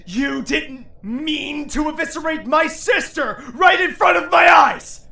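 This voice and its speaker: An actor playing a grieving, vengeful young royal in a fantasy audio drama, moving between hushed menace and raging. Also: male voice, very high voice, slow pace, creaky, full character voice. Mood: anguished rage. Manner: theatrical, swings between hushed menace and screaming. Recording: roomy echo with faint noise.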